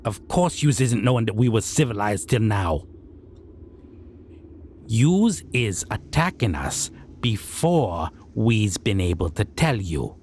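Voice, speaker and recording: A man voicing a slow, low, stern goblin king. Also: very animated, a little mellow, a little hiss or hum. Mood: indignant. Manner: broken, unusual grammar with drawn-out questioning. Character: civilized male goblin king, stern, deliberate, menacing judge. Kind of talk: scripted roleplay audio drama monologue.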